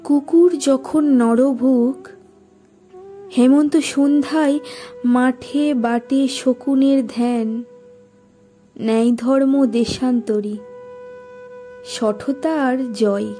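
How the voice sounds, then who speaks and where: Slow, high-voiced woman reciting poetry. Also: faint music behind. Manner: measured, deliberate phrasing with expressive pauses. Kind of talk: dramatic Bengali poetry recitation.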